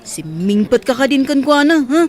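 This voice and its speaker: A man's voice: very high voice, sing-song, moderate pace, a faint crowd, exaggerated voice. A man with an Ilocano accent acting a questioning, wary companion in an audio drama. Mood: questioning, doubtful. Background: faint music.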